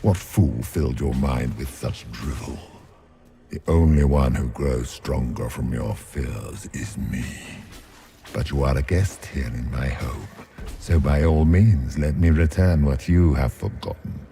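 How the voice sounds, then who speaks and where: An actor playing a huge nightmare demon in a video game, taunting and grandiose with mock courtesy. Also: male voice, rumbling low, measured pace, warm tone, faint music behind. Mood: smug, taunting. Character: huge male nightmare demon, sneering, menacing, condescending. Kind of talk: video game villain acting.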